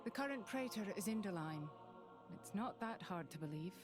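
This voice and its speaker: A woman's voice: mid-range voice, expressive, normal speed, natural voice. An actress playing a stern, composed woman officer in a video game cutscene. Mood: cool, matter-of-fact. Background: music.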